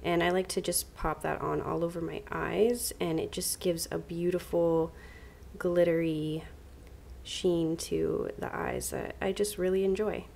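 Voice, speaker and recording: A woman's voice: lowish voice, level tone, unhurried, vocal fry, darkish tone, slight background noise. A young woman vlogging casually from her vanity desk at home, sounding nasal from a bad head cold, chatty and enthusiastic. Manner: chatty, enthusiastic, uses fillers like um and like. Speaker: beauty vlogger. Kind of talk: casual product walkthrough.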